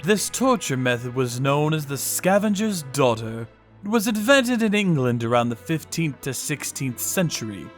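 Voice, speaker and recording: A man narrating a manga dub in a cold, unhurried, sadistic manner. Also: on the high side, very animated. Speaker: narrator and torturer character. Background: faint music.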